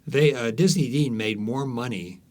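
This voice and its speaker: A man's voice: mid-range voice, moderate pace, darkish tone. A man speaking as an author being interviewed, telling stories conversationally with frequent false starts and repeated words.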